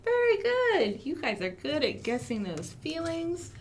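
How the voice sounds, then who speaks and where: An American woman hosting an interactive storytime for young children, asking questions and speaking in an expressive, encouraging way. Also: sits high, measured pace, a little hiss or hum. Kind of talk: interactive children's storytime with songs and finger rhymes. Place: live online stream for a library.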